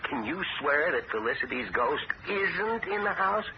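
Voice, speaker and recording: A jittery, pleading house seller. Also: male voice, fairly high pitch, big pitch swings, unhurried. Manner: nervous, pleading, stammering. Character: jittery man desperate to sell a haunted house. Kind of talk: 1970s radio drama acting. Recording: muffled old radio broadcast with loud hiss.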